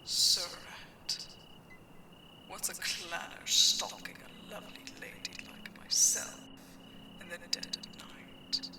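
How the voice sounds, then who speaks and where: A roomy echo surrounds a sly pirate queen of the battlefield played by a woman. She draws her words out very slowly in a lowish, breath-hushed voice, teasing and menacing in mock-sweet tones, as in a sci-fi audio drama. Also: monotone, whispered, distant mic. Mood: amused, mocking. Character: sly, menacing female space pirate mech pilot. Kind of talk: ASMR sci-fi audio roleplay.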